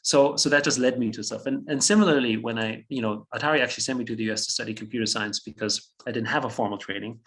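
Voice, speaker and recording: A man's voice: mid-range voice, fairly even intonation, quick pace, hardly any pauses, a bit bright. A German-speaking man in his fifties from Austria, a guest on an interview, telling his story reflectively, chuckling often and restarting phrases.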